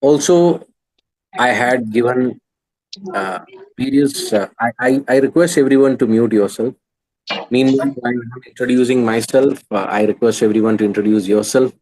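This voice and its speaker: A man's voice: medium pitch, moderate pace, a little mellow, poor audio. A man in his thirties with an Indian English accent, coaching students in an online class, earnest and hesitant with many restarts. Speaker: exam coach.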